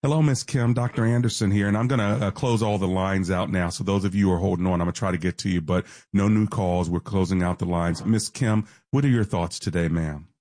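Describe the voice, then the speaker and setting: A man hosting a call-in radio show, briskly taking callers and affirming them. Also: low-pitched, darkish tone, no echo, clean studio sound. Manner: affirming, takes calls briskly.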